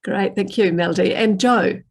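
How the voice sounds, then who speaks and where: A woman, moderating a panel discussion in a measured, friendly way. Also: mid-range voice, breathy edge. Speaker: panel host.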